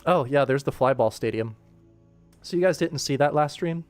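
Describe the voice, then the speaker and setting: A man's voice: medium pitch, moderate pace, faint music behind. A young American man talking casually and enthusiastically on a livestream, emphatic and given to going off on tangents. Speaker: livestream host.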